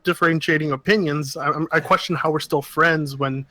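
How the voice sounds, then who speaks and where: A man's voice: on the high side, fairly even intonation, brisk, noisy background. A man from Oregon co-hosting a casual podcast chat, rambling and restarting his sentences with frequent laughs. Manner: rambling, self-interrupting, laughs a lot. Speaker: podcast co-host.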